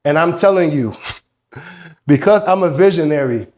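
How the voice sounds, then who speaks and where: A man's voice: on the high side, expressive, normal speed, darkish tone. A man with a congested voice from a cold, coaching men in an emphatic, preachy talk that swears freely. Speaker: dating coach. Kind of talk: motivational talk to camera.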